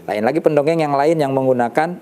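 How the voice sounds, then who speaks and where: Storyteller guest, male, mellow and dark-voiced, measured explainer in a studio chat. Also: on the high side. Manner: measured, explanatory, with frequent restarts and lists. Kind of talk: studio talk show explanation. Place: television studio.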